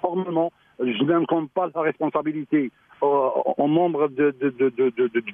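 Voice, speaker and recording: A man's voice: fairly high pitch, no room sound. Stammering man on a phone line. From Algeria. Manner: halting, stammering, repeats words. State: choked up. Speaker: local mayor phoning in. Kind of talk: radio phone interview.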